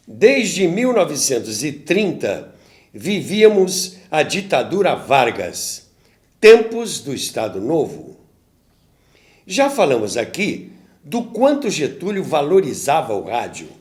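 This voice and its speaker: A Brazilian man hosting and narrating radio history as a storyteller, with theatrical rhetorical questions. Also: fairly high pitch. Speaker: podcast host and narrator.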